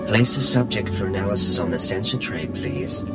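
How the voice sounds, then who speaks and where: An actor voicing a polite, impersonal analysis droid in a film, speaking in a formal, matter-of-fact way. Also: male voice, sits low, lively intonation, moderate pace. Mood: detached, procedural. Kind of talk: film dialogue. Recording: film clip with loud music behind. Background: loud film score.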